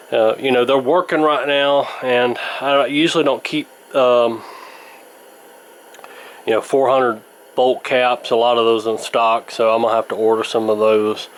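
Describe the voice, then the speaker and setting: A man with an average-pitched voice talks through a repair demonstration off the cuff. He explains things in a folksy, meandering, slightly hesitant way. There is clear ambient noise behind his voice. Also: poor audio. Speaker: host of a vintage radio repair channel. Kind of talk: casual unscripted repair demonstration.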